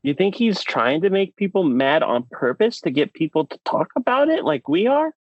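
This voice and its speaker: A man banters as a podcast co-host, his pitch swinging widely for theatrical emphasis. The recording is dry and a little muffled, and his delivery is casual and opinionated. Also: very animated, moderate pace, dry recording.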